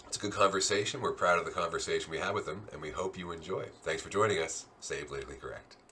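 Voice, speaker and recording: A man hosts a casual interview with a low, easygoing voice. He keeps things relaxed and friendly and fills the pauses with short affirmations while his guest talks. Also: a little hiss or hum. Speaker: interview host.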